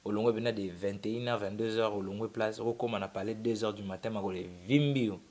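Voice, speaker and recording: A man's voice: fairly low pitch, fast. A young man from Congo-Kinshasa, a musician telling his story in a casual, rambling way, often restarting his sentences. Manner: relaxed, rambling, with many restarts. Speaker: musician guest. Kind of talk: casual interview, mixing French and Lingala.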